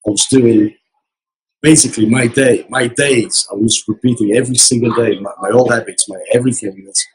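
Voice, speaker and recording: Hoarse, husky man losing his voice. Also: medium pitch, fairly even intonation, brisk, rough recording. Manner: earnest, repetitive motivational talk. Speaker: interview guest. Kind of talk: casual interview. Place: casino resort event.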